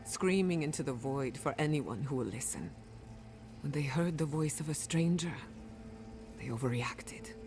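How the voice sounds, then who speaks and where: A woman playing a wounded, sharp-tongued animancer in a fantasy game, emotional and sardonic. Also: low-pitched, measured pace, music in the background. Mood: reluctant forgiveness. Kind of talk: fantasy video game acting.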